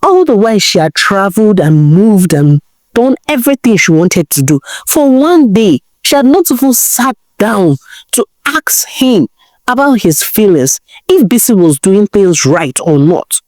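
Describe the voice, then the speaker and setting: A woman's voice: mid-range voice, sing-song, measured pace, a little mellow, no echo. A woman with a Nigerian accent telling a folktale as a dramatic storyteller.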